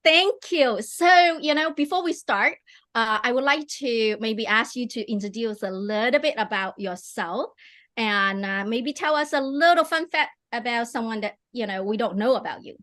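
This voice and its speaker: A woman's voice: on the high side, animated, moderate pace, a bit bright. A middle-aged woman hosting a live online interview over a video call, chatty and enthusiastic, with many fillers and restarts. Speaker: host.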